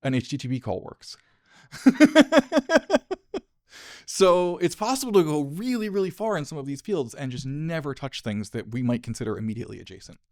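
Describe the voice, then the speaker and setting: A man's voice: a little high, sing-song, a little mellow, no room sound. Man in his thirties fielding audience questions at a podium; easygoing, laughs easily, dry close sound. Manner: casual, laughs often, quick asides. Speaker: conference speaker. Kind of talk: Q&A after a tech talk. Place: lecture room, at a podium. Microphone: podium mic, dry.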